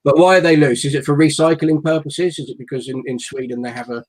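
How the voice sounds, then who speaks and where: A man's voice: fairly high pitch, steady tone, quick pace, a little mellow. A man co-hosting a live stream, asking playful questions in a casual chat. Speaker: co-host.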